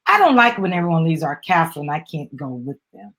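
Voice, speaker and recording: Woman, slightly rough-edged voice, upbeat host reading a children's book aloud with casual asides and prompts for a little one. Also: sits low, sing-song, brisk, fairly bright tone. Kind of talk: live dramatic storybook reading with chatty asides.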